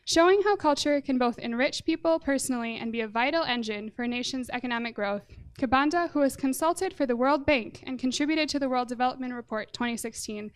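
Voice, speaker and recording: High-voiced woman reading an introduction. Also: smooth. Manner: measured, formal, jokes about pronunciation. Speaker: event host introducing a guest.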